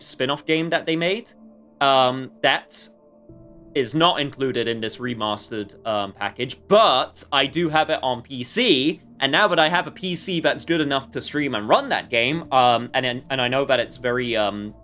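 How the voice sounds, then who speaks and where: A man's voice: medium pitch, sharp tone, smooth. A man livestreaming a video game with casual commentary, chatting to viewers in a rambling way with jokey asides.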